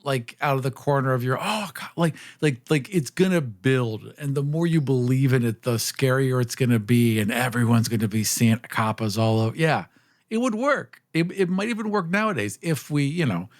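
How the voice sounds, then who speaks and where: A man's voice: mid-range voice, lively intonation, moderate pace. A man in casual podcast chat, riffing playfully on ideas. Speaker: podcast speaker.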